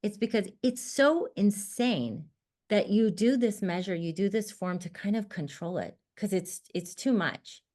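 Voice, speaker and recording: A woman poet whose first language was Armenian, speaking in an expressive, emphatic conversation over a video call. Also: unhurried. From United States. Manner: expressive, emphatic, rises in pitch when animated. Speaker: poet, guest author. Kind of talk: casual conversation about writing. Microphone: computer mic on a video call.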